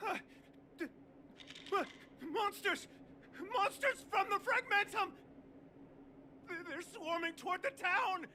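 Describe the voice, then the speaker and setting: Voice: very high voice, takes it slowly, long pauses, pushed and bright, slight background noise, full character voice. An actor voicing a panicked, pleading young townsperson in a video game, stammering, breathless and desperate. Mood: terrified alarm. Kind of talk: scripted video game voice acting.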